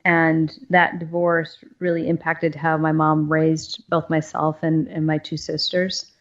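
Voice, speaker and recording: A middle-aged woman from northern Maine answers interview questions in a low, steady voice. She is thoughtful and halting and restarts her sentences often. The audio sounds dull and lo-fi, with faint music behind her. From northern Maine, USA. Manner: thoughtful, halting, many fillers. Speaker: CEO, podcast guest.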